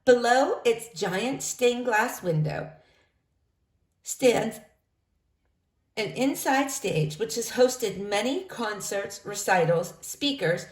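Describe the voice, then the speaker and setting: A woman reading a children's book aloud, narrating in an expressive storytelling manner. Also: a little high, slow. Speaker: storybook reader.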